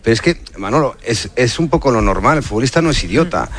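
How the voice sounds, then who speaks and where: Male football pundit, Spain accent, fast emphatic delivery that hammers phrases by repetition. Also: mid-range voice, expressive. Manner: emphatic, repeats phrases for stress. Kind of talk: unscripted sports debate.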